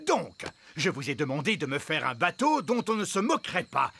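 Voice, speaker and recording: Pompous, animated cartoon king. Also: fairly high pitch, normal speed, gravelly, a little hiss or hum, exaggerated voice. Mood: dismayed. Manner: pompous, exclamatory.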